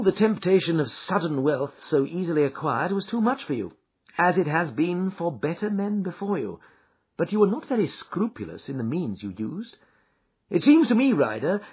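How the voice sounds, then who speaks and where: A man voicing a sharp, commanding male detective who speaks briskly and forcefully. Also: fairly high pitch, slightly rough, voice pushed up, exaggerated voice. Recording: dull lo-fi recording.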